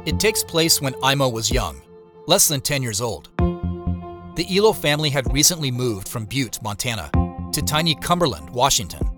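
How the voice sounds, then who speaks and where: Audio drama: troubled, guarded man played by an actor, earnest and reflective, very mobile intonation, music clearly underneath. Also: mid-range voice, very animated, moderate pace, natural voice. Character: troubled, guarded man confiding in his sister and narrating. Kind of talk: audio drama acting and first-person narration.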